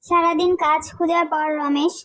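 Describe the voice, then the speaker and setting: Very high-pitched woman storyteller. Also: steady tone, moderate pace, a bit bright. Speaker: narrator. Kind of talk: scripted cartoon fairy-tale narration.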